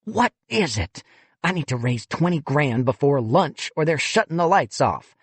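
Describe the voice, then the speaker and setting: A man's voice: on the high side, very animated, moderate pace, husky, no echo, voice pushed up, exaggerated voice. A man voicing an irritable female fundraising chief who talks bluntly and sneers. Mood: curt irritable.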